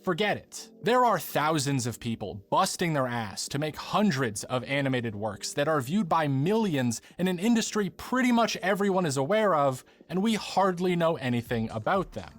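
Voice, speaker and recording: Male video essayist, higher register, animated scripted narration over music. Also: moderate pace, few pauses.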